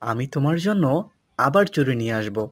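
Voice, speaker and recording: A man playing a gentle, reassuring, soft-spoken father in a cartoon. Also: mid-range voice, sing-song, a little fast, dry recording. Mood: kindly.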